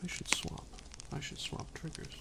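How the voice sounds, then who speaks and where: An ASMR streamer chatting casually to viewers, soft-spoken and drifting into whispers, close to the microphone. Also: normal speed, background noise.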